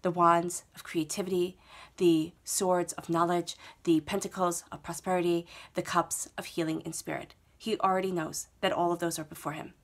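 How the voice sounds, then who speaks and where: A woman's voice: lowish voice, little pitch movement, normal speed, few pauses. Stuffy-voiced woman giving a tarot reading. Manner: soothing, repetitive reassurance with frequent "okay?". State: head cold, stuffy. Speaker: tarot reader. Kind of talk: monthly tarot reading to camera. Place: indoors at a table, reading laid-out cards.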